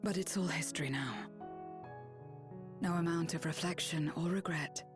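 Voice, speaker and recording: A woman voicing a guarded, lonely young woman warrior in a game cutscene, speaking in a subdued, breathy half-whisper. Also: on the low side, music in the background, lightly acted. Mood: somber. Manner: subdued, reflective, measured. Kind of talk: video game cutscene dialogue.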